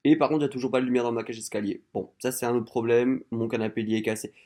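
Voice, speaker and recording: A man's voice: medium pitch, fairly even intonation, rapid-fire, warm tone. A young man with a French accent from France, chatting candidly to viewers in a slangy, self-mocking, confessional vlog style. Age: young adult. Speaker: vlogger.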